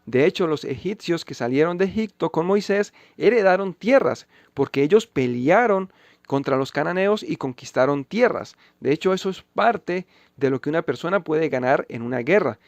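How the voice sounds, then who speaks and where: A man reading Bible verses and giving scripted commentary, measured and emphatic. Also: on the high side, expressive, dry recording. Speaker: channel host refuting a pastor.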